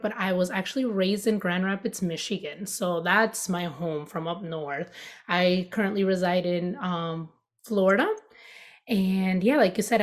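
Woman, 30s, from the United States, podcast guest, casual chat, rambling, laughs a lot, middle pitch. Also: a little mellow. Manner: chatty, laughs often, rambling with fillers.

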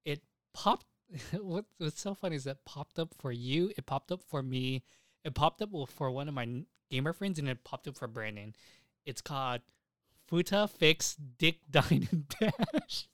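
A young man co-hosting a podcast, chatting casually and crudely about anime and games in an excitable, giggly, sweary way. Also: on the high side, a little slow, no echo, clean studio sound. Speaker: podcast co-host.

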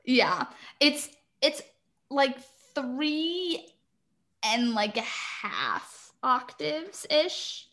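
A woman's voice: sits high, big pitch swings, very slow, a bit bright. A woman in casual chat who explains singing terms in detail and laughs often.

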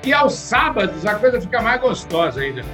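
A man's voice: high-pitched, very animated, brisk, loud music behind the voice. A man hosting a podcast interview, exuberant and folksy, talking straight to his listeners. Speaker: podcast host.

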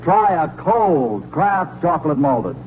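An actor playing a relentlessly eager salesman neighbour, pitching a product in a 1940s radio comedy. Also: sing-song, slow pace. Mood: cheerful, selling. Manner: smooth-talking, eager salesman. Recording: muffled, noisy 1940s radio broadcast.

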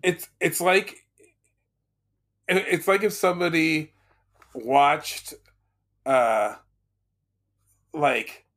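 A man with a fairly high voice talks slowly and wryly about a book, often breaking into laughter. His sentences halt and restart as he hunts for words. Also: lively intonation, fairly bright tone. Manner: wry, laughing, halting with restarts. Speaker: podcast co-host. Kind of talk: casual book discussion.

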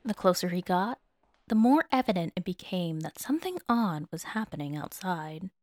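A woman's voice: fairly low pitch, no echo, clean studio sound. A woman narrating fan fiction as a dramatic audiobook.

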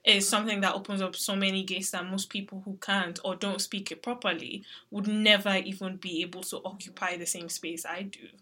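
A woman's voice: medium pitch, level tone, brisk, hardly any pauses, bright, forceful tone. A young woman from Nigeria talking casually and thoughtfully in a panel discussion.

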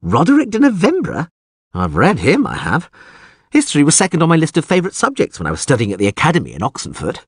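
A man's voice: a little high, huge pitch swings, fast talker, husky, no echo, clean studio sound, pitched above the performer's own voice, heavily acted. A man voicing a cheeky, boastful troubadour in a storybook with theatrical, playful exaggeration. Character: flamboyant, cheeky male troubadour, boastful and jovial.

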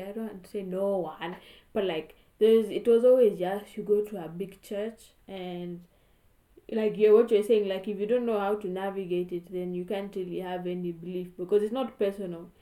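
Mellow woman, chatty host. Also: medium pitch, steady tone, moderate pace, reverberant. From Kenya. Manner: chatty, self-correcting. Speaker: podcast host. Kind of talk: casual chat.

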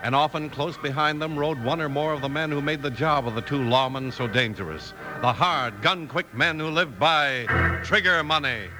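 A man's voice: on the high side, moderate pace, poor audio. An American man announcing for a 1950s radio show in an emphatic, polished, scripted delivery. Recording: old radio recording with music.

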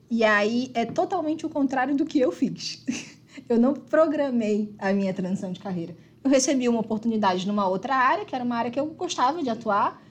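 A woman in her thirties speaking as a guest on a company livestream, lively and casual. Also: on the high side. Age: 30s. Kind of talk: casual panel talk.

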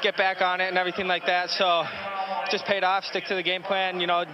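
A young male hockey player answering a post-game interview in a rushed, repetitive way. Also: high-pitched, monotone, a crowd in the background. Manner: rushed, repeats a stock filler phrase. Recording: dull outdoor clip with noise.

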